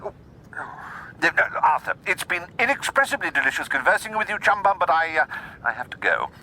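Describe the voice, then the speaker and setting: An actor playing an excitable, gushing newspaper journalist who stammers through florid slang in a radio comedy. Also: male voice, on the high side, brisk, a little hiss or hum, heavily acted, low-quality recording. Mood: excited, babbling. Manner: stammering, florid, swooping delivery. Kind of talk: radio comedy drama acting.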